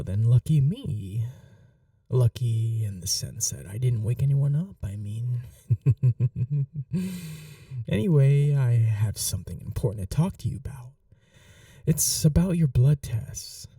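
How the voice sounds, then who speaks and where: A performer acts out an obsessive, courteous vampire in an intimate ASMR roleplay. The voice is gravelly and unhurried, coaxing in soft murmurs that slip toward whispers. The sound is dry, with a quiet menace beneath the politeness. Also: medium pitch, no echo, distant mic, full character voice. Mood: polite, eerie.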